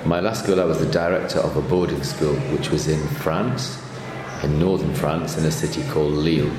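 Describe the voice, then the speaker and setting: Very deep man, echoey room. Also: fairly even intonation, normal speed. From Manchester area, England. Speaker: headmaster being interviewed. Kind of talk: informal interview answers. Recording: echoey reverberant room, clear background noise.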